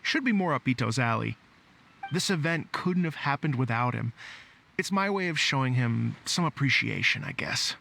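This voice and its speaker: A man's voice: mid-range voice, expressive, moderate pace, gravelly, pushed and bright, slight background noise. A man playing an upbeat, easygoing rock musician with a showman's manner in a video game. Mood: easygoing, pleased.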